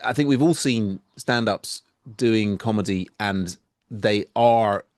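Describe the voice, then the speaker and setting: A man hosting a podcast in casual chat, halting and restarting often, wandering into playful tangents. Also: lowish voice, darkish tone, dry recording, studio quality. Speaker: podcast host.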